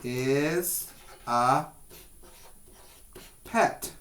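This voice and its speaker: Slow-paced male preschool teacher. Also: mid-range voice, fairly bright tone. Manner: instructive, asks questions and pauses for answers. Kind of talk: read-aloud and simple questions for young children.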